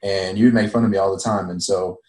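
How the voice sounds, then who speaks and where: A young man, a college football player, calmly recounting his story in a casual interview. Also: low-pitched, fast talker, roomy sound. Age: young adult.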